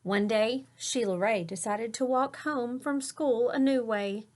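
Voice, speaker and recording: A woman's voice: fairly high pitch, a little slow, a little mellow. A woman teacher reading a picture book aloud to children, explaining words and pointing out the pictures, sometimes dropping to a whisper.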